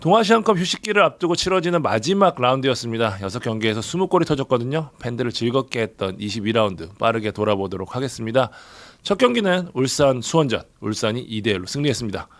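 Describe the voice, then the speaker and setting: Male sports host, hoarse from a cold, rapid-fire delivery, mid pitch. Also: lively intonation, no room sound. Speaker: host of a football review show. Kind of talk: sports news review.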